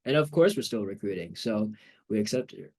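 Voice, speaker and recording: A man's voice: fairly low pitch, lively intonation, brisk, darkish tone. A young man hosting a student podcast in an upbeat casual style, often saying "absolutely". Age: young adult. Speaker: podcast host.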